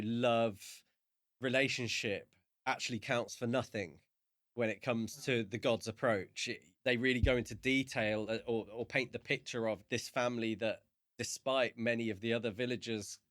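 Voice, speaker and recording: A man co-hosting a podcast, analysing a book in casual talk with measured, halting phrasing. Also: medium pitch, a bit bright, dry recording, clean studio sound. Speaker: podcast co-host.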